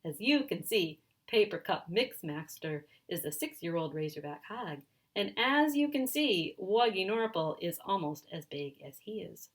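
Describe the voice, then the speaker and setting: A woman voicing an excitable, stubborn, boastful male storybook character in an insistent, exclamatory way. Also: fairly low pitch, measured pace. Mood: proud, insistent.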